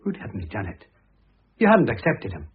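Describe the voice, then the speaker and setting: Husky, pompous ex-husband, vintage radio. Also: male voice, on the low side, huge pitch swings, normal speed, heavily acted. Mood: indignant, dismissive. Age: middle-aged. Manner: pompous, blunt, indignant. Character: conceited, blustering, self-absorbed middle-aged ex-husband. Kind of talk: vintage radio drama acting. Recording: muffled, narrow-band old radio recording.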